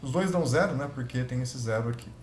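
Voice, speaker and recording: A man's voice: medium pitch, fast. A man with a Brazilian Portuguese accent, a physics lab instructor talking through a spreadsheet tutorial, thinking aloud and correcting himself as he goes. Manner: thinks aloud, self-correcting, checks in with students. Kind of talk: screen-recorded spreadsheet tutorial. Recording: echoey room with faint noise.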